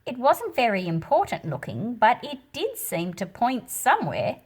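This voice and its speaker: A woman reading a storybook aloud and explaining it as she goes, in an expressive, deliberate manner with a slightly husky, breathy quality. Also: fairly high pitch. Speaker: picture book read-aloud host. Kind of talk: storybook read-aloud with teaching commentary.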